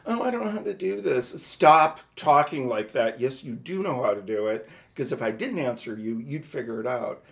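A man's voice: mid-range voice, sing-song, normal speed, creaky, hardly any pauses. A middle-aged man from North Dakota, co-hosting a podcast in casual chat, rambling through stories, swearing and breaking off mid-sentence. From North Dakota, USA. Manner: rambling storyteller, swears, self-interrupting. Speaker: podcast co-host. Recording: roomy echo.

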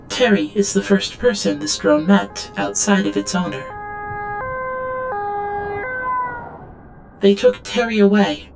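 A woman's voice: medium pitch, creaky, poor audio. An actress playing an obedient female android drone in an audio drama, reciting logs in a clipped, literal, detached way. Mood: detached, mechanical. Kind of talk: audio drama log narration.

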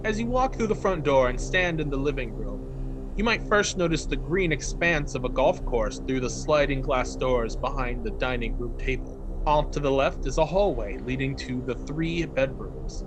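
A man reading a written account aloud as a narrator, measured and formal, with carefully enunciated phrases. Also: mid-range voice, expressive, music in the background.

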